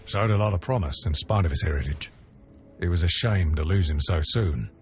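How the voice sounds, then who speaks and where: Male actor as warrior officer, low voice, stern, curt, proud, game scene with faint music. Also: brisk, natural voice. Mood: matter-of-fact. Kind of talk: video game acting.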